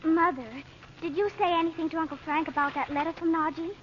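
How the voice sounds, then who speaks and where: An actress playing an earnest, eager teenage daughter in an old-time radio drama. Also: female voice, very high voice, fairly even intonation, quick pace. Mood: worried. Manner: earnest, girlish, polite. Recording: dull, slightly noisy old radio recording.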